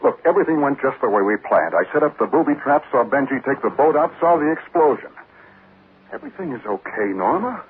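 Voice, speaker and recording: An actor playing a tense, insistent accomplice in a 1940s radio drama, emphatic and reassuring. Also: male voice, medium pitch, sing-song, brisk, close to an everyday voice. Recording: old radio, narrow band, faint noise.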